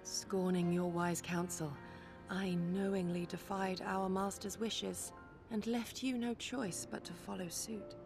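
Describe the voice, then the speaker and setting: A woman's voice: medium pitch, steady tone, vocal fry, over music. A woman playing a dutiful, humble warrior retainer in a fantasy game, speaking in formal, courteous, archaic language. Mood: solemn remorse. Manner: formal, archaic, measured speech.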